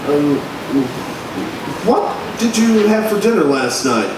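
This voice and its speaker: Man as placating, doubting reporter, vintage radio drama, lightish pitch, very roomy distant sound. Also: very animated, takes it slowly, heavily acted. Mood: skeptical, placating. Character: male newspaper reporter, mild, skeptical, placating. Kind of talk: 1940s radio acting. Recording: roomy, noisy live stage recording from afar.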